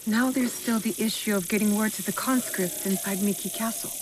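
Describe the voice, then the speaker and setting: A woman's voice: medium pitch, brisk, background noise, close to an everyday voice. An actress playing a determined female shinobi assassin in feudal Japan, cool, terse and direct, in a video game. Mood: resolute. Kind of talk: scripted video game cutscene acting.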